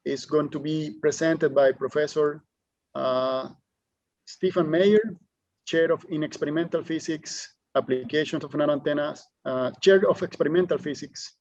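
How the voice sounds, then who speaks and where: A man introduces the next speaker as moderator, halting and correcting himself as he goes. His voice is a bit high, heard through a dull, close and dry recording. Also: darkish tone, dry recording. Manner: halting, with fillers and self-corrections. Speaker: seminar moderator.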